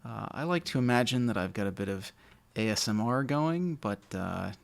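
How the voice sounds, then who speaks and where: A man with a naturally low voice, casually commentating a video game he loves, a little mumbly and self-deprecating. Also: lively intonation, normal speed, creaky. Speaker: let's play host.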